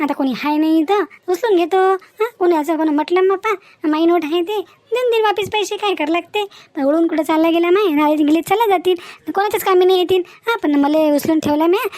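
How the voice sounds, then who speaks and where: A woman voicing a loud, talkative, feisty village housewife in a comedy cartoon, exclaiming and repeating herself in Varhadi Marathi. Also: very high-pitched, no echo. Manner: chatty, repetitive, exclaiming.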